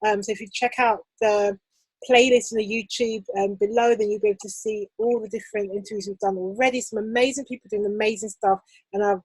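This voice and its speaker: A woman's voice: a little high, a little mellow, breathy edge, no echo, poor audio. A woman hosting an online interview as a fashion business coach, talking in an upbeat, enthusiastic way with many fillers.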